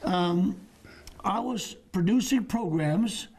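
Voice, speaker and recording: A man's voice: high voice, animated, slow pace, darkish tone, husky, low-quality recording. An older man hosting a studio TV interview, leading and prompting his guest and finishing his sentences. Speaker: TV host and evangelist. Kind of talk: interview questions. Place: small TV studio set, indoors.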